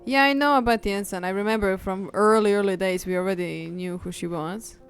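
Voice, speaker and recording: A woman's voice: medium pitch, a little fast. A woman livestreaming a game, chatting casually and reacting as she goes. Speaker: game streamer. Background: game music.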